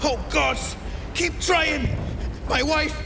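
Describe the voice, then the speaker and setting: An actor playing a desperate trapped husband in a fantasy video game, coughing and out of breath. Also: male voice, very high-pitched, vocal fry, noisy background, full character voice.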